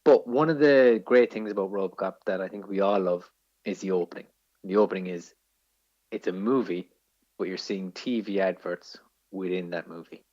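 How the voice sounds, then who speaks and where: Deep-voiced Irish man, slightly muffled podcast. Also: moderate pace, darkish tone. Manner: enthusiastic, sweary film-geek explainer. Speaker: podcast co-host. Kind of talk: casual film discussion. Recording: dull lo-fi podcast audio.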